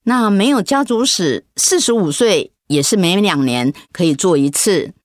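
An actress playing a gentle, hesitant middle-aged mother in a radio drama. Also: lowish voice, lively intonation, moderate pace, dry recording, clean studio sound, lightly acted. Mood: gentle, reassuring. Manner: gentle, hesitant, conversational with soft fillers.

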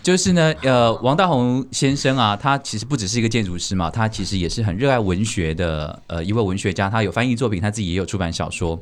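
A man's voice: lowish voice, fast talker, hardly any pauses. A man hosting a podcast in a casual, playful, teasing way, chatting to guests and listeners. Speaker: podcast host.

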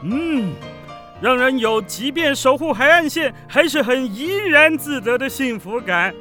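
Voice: high voice, animated, unhurried, bright, forceful tone, soft background music, full character voice. An actor playing a pompous, sentimental ancient male poet as a contest judge in a children's radio drama, speaking grandiloquently. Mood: awed, savoring. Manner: grandiloquent, savoring, prone to tearful rapture.

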